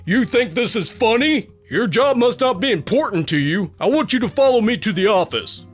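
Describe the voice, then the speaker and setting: A man voicing an angry, blustering male store boss who yells accusations in a story. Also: high-pitched, animated, normal speed, soft background music, pitched above the performer's own voice. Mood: angry scolding.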